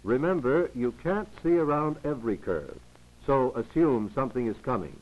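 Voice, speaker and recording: A man reading scripted narration for a 1940s instructional film in a didactic, formal, measured manner. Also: medium pitch, expressive. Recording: muffled, lo-fi 1940s 16mm film soundtrack.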